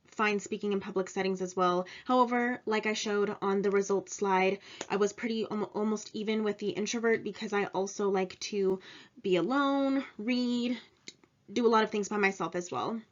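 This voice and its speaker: A woman's voice: medium pitch, steady tone, normal speed. A young woman giving an informal presentation about herself in a matter-of-fact, self-assured way. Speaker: presenter of personal test results, insurance claims adjuster. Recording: dull lo-fi recording.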